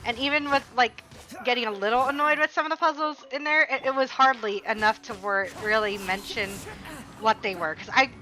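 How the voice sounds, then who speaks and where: A woman's voice: on the high side, brisk, sharp tone, rough recording. A woman streamer chatting casually and rambling while she plays a game, talking back to the characters on screen. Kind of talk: casual chat while gaming.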